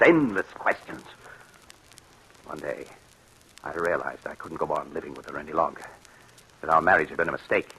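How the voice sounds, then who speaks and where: Husky, haunted husband, swinging in pitch. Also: male voice, sharp tone, full character voice, rough recording. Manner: anguished, dramatic, halting. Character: haunted, regretful husband tormented by his jealous wife. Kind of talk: old-time radio thriller acting.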